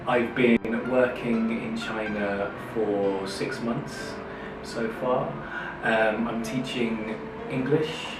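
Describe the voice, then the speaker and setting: A man who teaches English at a primary school, answering interview questions slowly and thoughtfully with frequent ums. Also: fairly low pitch, steady tone. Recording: echoey room, distant mic, music bed. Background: music.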